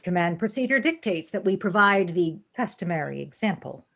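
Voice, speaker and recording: A woman's voice: lowish voice, animated, moderate pace, gravelly, fairly bright tone, exaggerated voice. An actress playing a stern, logical female first officer in an audio drama, speaking formally and precisely, with reproof. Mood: formal, insistent.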